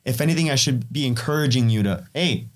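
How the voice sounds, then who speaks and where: A man's voice: medium pitch, lively intonation, quick pace. A man in his late twenties co-hosting a podcast, brash and casual, cursing freely and hyping the show. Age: 20s. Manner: brash, swears freely, hype intros. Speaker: podcast co-host.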